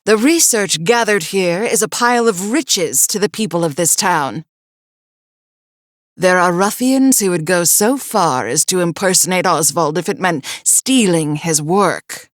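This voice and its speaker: A woman voicing a loyal, skeptical scholar's assistant in a video game, with formal, deliberate and theatrical speech. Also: fairly low pitch, animated, dry recording, studio quality. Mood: suspicious. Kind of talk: dramatic video game voice acting.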